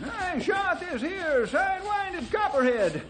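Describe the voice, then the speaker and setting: An actor playing a feisty, grumbling old western sidekick with a folksy American drawl in a radio drama. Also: male voice, extremely high pitch, big pitch swings, takes it slowly, over a noisy crowd, full character voice. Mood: indignant. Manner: folksy frontier drawl, colorful insults. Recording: very noisy old radio recording.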